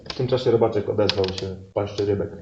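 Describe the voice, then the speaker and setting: A man's voice: on the low side, fairly even intonation, quick pace, highs cut off. A man narrating an improvised comic fairy tale live, in an echoey room with background noise. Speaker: improv storyteller and performer. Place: live venue with audience.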